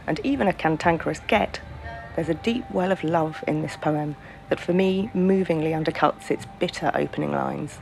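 Creaky-voiced woman presenting over music. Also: low voice, darkish tone, low-quality recording. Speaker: podcast presenter. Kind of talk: scripted introduction to a poem. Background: music under the voice.

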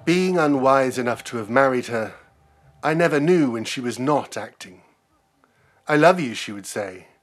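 A man's voice: mid-range voice, lively intonation, normal speed. A man giving a solemn, dramatic reading of a poem. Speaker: poetry reader. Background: music under the reading.

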